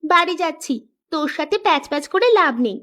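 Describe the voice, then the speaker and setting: A woman plays a teasing little village girl from a kids' cartoon. The voice is very high, with a mocking, quick-tempered way of bantering. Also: expressive, fairly bright tone. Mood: teasing, scornful. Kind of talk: children's cartoon dialogue.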